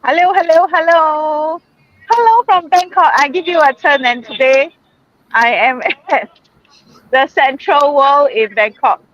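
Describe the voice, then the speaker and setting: A woman's voice: sits high, a little slow, fairly bright tone, no echo, lo-fi sound. A woman from Singapore hosting a casual group live stream, chatty and laughing, with many fillers, speaking from a shopping mall.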